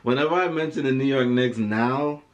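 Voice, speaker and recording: A man's voice: medium pitch, normal speed, highs cut off. A man chatting casually as the co-host of a family vlog. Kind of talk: casual chat.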